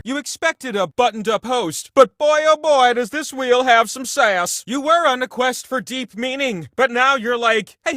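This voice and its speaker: A man's voice: extremely high pitch, pushed and bright, no room sound, clean studio sound, heavily acted. A man voicing a sassy, giddy giant talking wheel who hosts a trivia game show with campy, theatrical exuberance and chatty asides. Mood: sassy, teasing.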